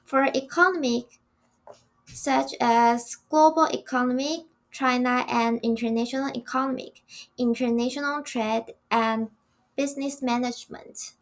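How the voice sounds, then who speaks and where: High-voiced young Taiwanese woman. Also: steady tone, measured pace. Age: young adult. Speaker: student podcast co-host. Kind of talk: reading prepared notes.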